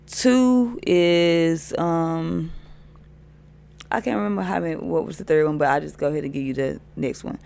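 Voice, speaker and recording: A woman DJ with a deep voice, chatting as a podcast guest in a laid-back, hesitant way. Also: normal speed, creaky, a little mellow. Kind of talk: casual interview chat.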